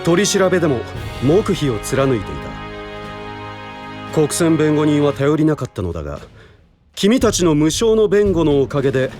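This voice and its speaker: Composed snooty prosecutor in audio drama, formal but lively pitch, music behind. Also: male voice. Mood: lofty, approving. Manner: formal, measured, lofty. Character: composed, authoritative male prosecutor, formal and slyly knowing. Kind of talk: Japanese audio drama acting.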